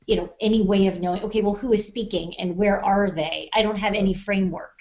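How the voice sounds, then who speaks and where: A woman's voice: mid-range voice, fairly even intonation, quick pace, a little mellow. Female podcast co-host, muffled lo-fi audio. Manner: conversational, explains points plainly. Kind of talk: casual podcast chat. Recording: dull, muffled lo-fi sound.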